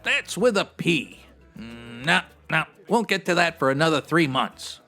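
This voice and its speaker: A man playing a slangy, wordy strip club manager with a raspy, theatrical delivery, in a comedic old-time radio detective style. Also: a little high, sing-song, measured pace, pushed and bright, soft background music, full character voice. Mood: chatty, pleased. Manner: hipster slang with florid big words, theatrical. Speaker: actor in a comedy audio drama.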